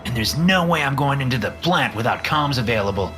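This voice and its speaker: Guy doing higher voices for the young crew, swoopy and tense, music under it. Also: expressive, brisk, lightly acted. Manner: raised pitch for dialogue. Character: young adult characters, men and a woman.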